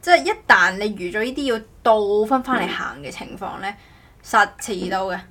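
A woman talks in a casual podcast style. Her pitch is average for a woman, and she rambles, often doubling back to correct herself. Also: normal speed, a bit bright. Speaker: podcast host. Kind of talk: casual chat.

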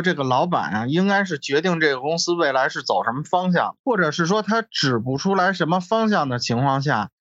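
A man's voice: a little high, a bit bright, dry recording, muffled recording. A man griping casually in blunt, slangy, sweary talk. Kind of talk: casual chat.

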